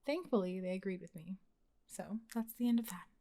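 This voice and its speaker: Casting: young woman, voice actress playing a blunt heartbreaker best friend, mid-pitched, casual and expressive, intimate audio roleplay. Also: a little fast, natural voice. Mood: disappointed. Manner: casual, expressive, sighing, sweary. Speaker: voice actor in ASMR roleplay. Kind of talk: intimate conversational ASMR roleplay acting.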